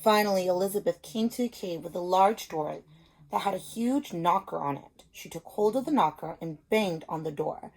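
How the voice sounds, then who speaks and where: A woman's voice: on the low side, animated, normal speed, a little mellow, rough recording. Woman teacher explaining and reading to children. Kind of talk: simple lesson and story read-aloud for children.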